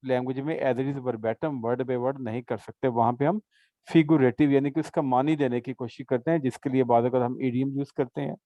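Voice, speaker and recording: A man's voice: medium pitch, steady tone, moderate pace, no echo, lo-fi sound. A man tutoring an English class in a casual session, patiently explaining with examples and checking understanding. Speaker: English teacher.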